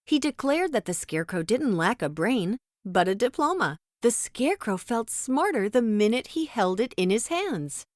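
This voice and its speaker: A woman's voice: medium pitch, dry recording, studio quality. A woman narrating a children's storybook as a scripted read-aloud.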